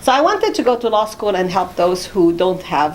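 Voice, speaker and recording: A woman's voice: medium pitch, sing-song, normal speed, a little hiss or hum, low-quality recording. A middle-aged woman from Jordan, talking conversationally as an interview guest about her own life. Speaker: interview guest, immigration attorney.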